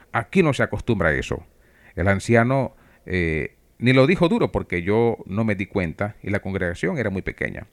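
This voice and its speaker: A man from Venezuela with a Venezuelan accent, preaching for radio in a measured, didactic way. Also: medium pitch. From Trujillo, Venezuela. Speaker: preacher and radio program host. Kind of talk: sermon talk for radio.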